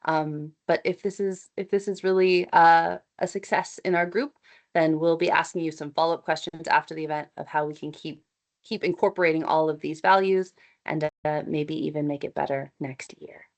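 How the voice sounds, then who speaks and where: Upbeat woman, fairly low voice, presenting chattily on a call. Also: fairly even intonation, normal speed. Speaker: event organizer presenting. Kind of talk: online meeting presentation. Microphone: computer mic on video call.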